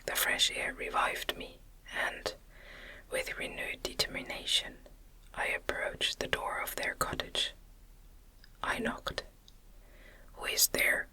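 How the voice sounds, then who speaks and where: A woman reading a classic novel as ASMR, in a hushed, deliberate whisper. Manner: slow, deliberate, hushed phrasing. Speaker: audiobook reader.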